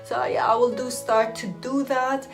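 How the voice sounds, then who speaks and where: Chatty multilingual woman vlogger, higher voice. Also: little pitch movement, moderate pace, soft background music. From Iraq. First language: Aramaic. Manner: warm, chatty, laughs often between phrases. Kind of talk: casual direct-to-camera chat.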